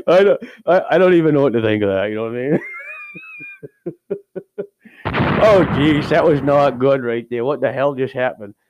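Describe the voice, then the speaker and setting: A man's voice: medium pitch, lively intonation, normal speed, long pauses, dull audio, background noise, lightly acted. A man playing a rambling, cheapskate firefighter who tells crude stories and keeps cracking himself up. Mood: rowdy. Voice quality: crude, giggly, rambling storyteller. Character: rambling, cheapskate middle-aged male firefighter. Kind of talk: improvised character comedy.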